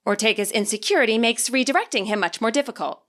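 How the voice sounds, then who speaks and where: A woman who is a fanfiction author, reading her own story aloud as scripted narration in an expressive way. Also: a little high, fast. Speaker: fanfiction author and podfic reader.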